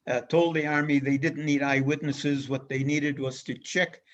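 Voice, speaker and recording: A man giving an illustrated history lecture as a guest speaker, speaking in a measured way with frequent pauses and fillers. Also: on the high side, level tone, a little hiss or hum. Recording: dull lo-fi recording.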